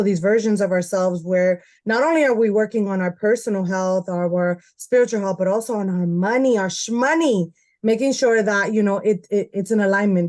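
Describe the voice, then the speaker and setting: A woman's voice: mid-range voice, a little mellow. A woman hosting a podcast, introducing her guest in a chatty, giggly way with plenty of filler words. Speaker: podcast host. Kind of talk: casual podcast chat.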